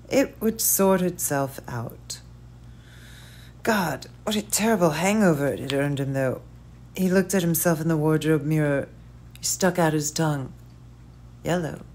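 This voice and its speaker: A woman reads a story aloud in a measured, unhurried way. Her voice sits a little low for a woman, and her phrases often fall away softly at the end. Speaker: audiobook reader. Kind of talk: scripted narration.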